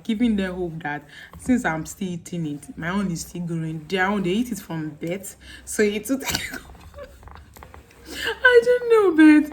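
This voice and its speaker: Animated Nigerian woman vlogging. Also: medium pitch. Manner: animated, acts out friends' lines with laughing. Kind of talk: casual chat to camera.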